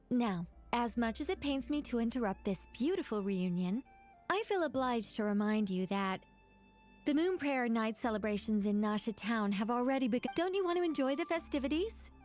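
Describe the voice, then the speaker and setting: A woman's voice: on the high side, lively intonation, moderate pace, darkish tone, natural voice. A woman voicing a chatty, courteous, scholarly guide in a video game, articulate, formal and playfully theatrical. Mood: polite, bright. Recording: music behind the voice.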